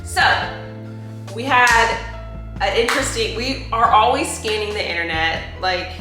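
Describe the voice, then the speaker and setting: A middle-aged woman co-hosting a casual chat show, talking in a frank, slangy, expressive way. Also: on the high side, unhurried. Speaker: co-host. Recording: very echoey room, faint music.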